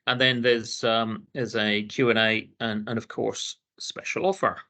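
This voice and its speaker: A man from the UK presenting a business webinar, pausing often with ums and uhs. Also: on the low side, little pitch movement, sharp tone. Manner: hesitant, many ums and uhs.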